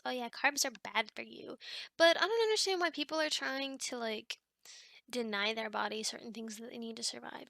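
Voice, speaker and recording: High, creaky chatty demon girl, played by a woman. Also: fairly bright tone, no echo. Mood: chatty, upbeat. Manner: casual, rambling, playfully critical. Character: chatty, fussy young female sleep paralysis demon. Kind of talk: ASMR roleplay, addressing the listener.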